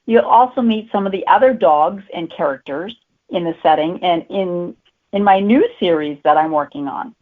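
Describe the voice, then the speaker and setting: A woman's voice: lowish voice, normal speed. Woman guest on a tinny phone line. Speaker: author being interviewed. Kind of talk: casual interview talk.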